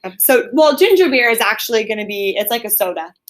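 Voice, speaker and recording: A woman's voice: fairly high pitch, animated, quick pace. A woman reading a children's story aloud, with casual explanations for young listeners along the way.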